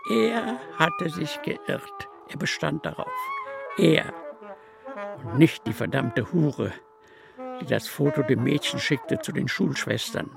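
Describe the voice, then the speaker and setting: An actor playing a brooding, bitter man telling of a betrayed friend in a literary radio play, with deliberate, drawn-out emphasis. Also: on the high side, very animated, creaky, over music, exaggerated voice. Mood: grim, insistent.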